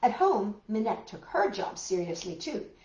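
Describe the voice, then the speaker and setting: A woman's voice: mid-range voice, sing-song, normal speed, darkish tone, muffled recording. A woman reading a picture book aloud to children with dramatic emphasis and chatty asides to her listeners. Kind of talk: expressive children's read-aloud. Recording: roomy, echoey room sound.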